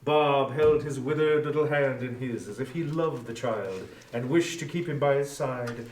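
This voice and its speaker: A man's voice: mid-range voice, moderate pace, darkish tone, a little hiss or hum. A man narrating a story as a scripted dramatic reading, recorded at some distance from the microphone. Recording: roomy echo, distant from microphone.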